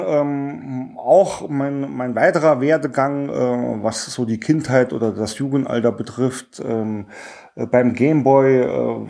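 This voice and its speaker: A man in his thirties with a Saarland German accent, introducing himself in a hesitant way with many pauses and restarts. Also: mid-range voice, darkish tone. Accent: Saarland German dialect. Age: 30s. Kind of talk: personal self-introduction.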